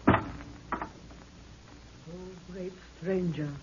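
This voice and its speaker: A woman's voice: on the low side, fairly even intonation, extremely slow. An actress playing a solemn, fearful queen of a lost people, with stately archaic diction, in an old radio drama. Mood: solemn, urgent. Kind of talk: 1930s radio drama acting. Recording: muffled, noisy 1930s radio recording.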